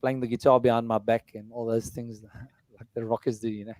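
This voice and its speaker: A middle-aged man with a baritone voice, talking casually about his music in an interview, rambling with many fillers and restarts. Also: level tone, a little fast, darkish tone, no room sound. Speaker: musician and entertainer.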